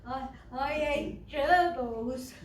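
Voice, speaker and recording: A woman playing a dim, eager young boy in a stage pantomime, with a halting, simple manner. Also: high-pitched. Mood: mournful. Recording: echoey noisy stage, far off.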